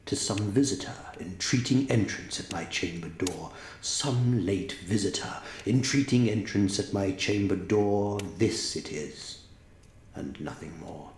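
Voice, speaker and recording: A man giving a dramatic recitation of a gothic poem, theatrical and often dropping to a hushed near-whisper. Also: on the low side, a little slow. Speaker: poetry reader. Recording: noticeable background noise.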